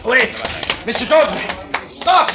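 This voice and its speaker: An actor playing an officious, pompous Egyptian police sergeant in an old radio drama, formal, insistent and easily flustered. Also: male voice, very high voice, animated, unhurried, over loud music. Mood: indignant. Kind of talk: 1940s-50s radio drama acting. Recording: muffled old radio recording, faint noise.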